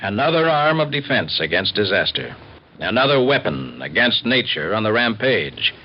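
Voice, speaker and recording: A man's voice: on the low side, very animated, normal speed, husky. A man announcing from a script on a muffled vintage radio program, with music behind him. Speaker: actor and announcer.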